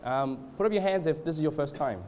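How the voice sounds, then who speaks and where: A man from Australia and Canada with a cold, speaking as a preacher in an informal, halting, hesitant way. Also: a little high, lively intonation, very noisy. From born in Australia, moved to Canada. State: has a cold. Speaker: guest preacher at a church revival gathering. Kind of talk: informal sermon with congregational prayer.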